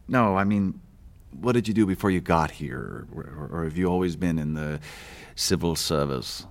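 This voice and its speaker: A man's voice: low voice, normal speed, creaky. An actor playing a casual, awkward middle-aged man, hesitant and probing, in a naturalistic audio drama. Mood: curious.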